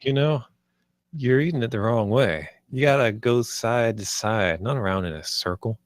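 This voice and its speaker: A man's voice: medium pitch, moderate pace, dry recording, studio quality, close to an everyday voice. A man at a table read playing an easygoing, teasing salvage worker in a casual, plain-spoken way. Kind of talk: table read of a film script.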